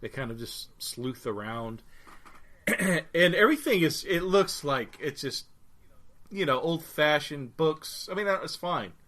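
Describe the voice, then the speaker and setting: A man's voice: a little high, animated, unhurried, a bit bright. A man co-hosting a podcast who chats casually, teasing his partner and laughing often. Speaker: podcast co-host. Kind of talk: casual chat about a TV episode.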